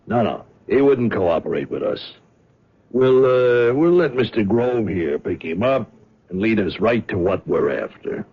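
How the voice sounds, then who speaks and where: A husky, menacing fake cop. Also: male voice, fairly low pitch, animated, measured pace. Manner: curt, bullying, gives orders. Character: menacing male gangster posing as cop. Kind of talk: 1970s radio drama acting. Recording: dull old radio broadcast.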